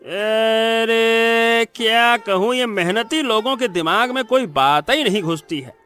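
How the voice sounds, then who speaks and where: A man acts out a greedy elder brother from a cartoon moral tale. The voice is extremely high and piercing, slow to drag out each scornful taunt. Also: sharp tone, faint music behind, heavily acted. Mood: scornful scolding. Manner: taunting, scolding, drawn-out jeers. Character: greedy, mocking elder brother who swindles his sibling. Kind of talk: Hindi cartoon moral story acting.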